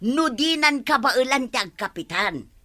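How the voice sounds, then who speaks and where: A woman with an Ilocano Filipino accent acting an excitable, nosy village woman in a radio comedy-drama. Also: sits high, normal speed, full character voice. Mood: nosy, prodding.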